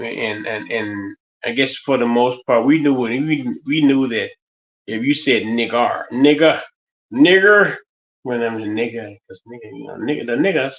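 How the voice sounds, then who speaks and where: A man, talking through a casual stream monologue. His voice sits at an average pitch and wanders through stories with restarts and fillers. The audio is a little dull and lo-fi. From United States. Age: 50s. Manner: rambling, loose, many restarts and fillers. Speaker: stream host.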